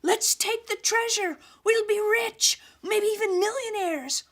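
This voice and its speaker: Lady doing an eager little girl from a storybook, high pitched and loud, really excitable. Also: measured pace. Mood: thrilled.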